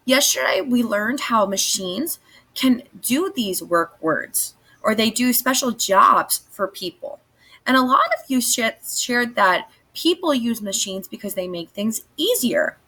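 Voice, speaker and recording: A woman's voice: a little high, animated, unhurried, pushed and bright, husky. A woman teaching young children, giving instructions and guiding questions in a read-aloud lesson. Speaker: elementary school teacher.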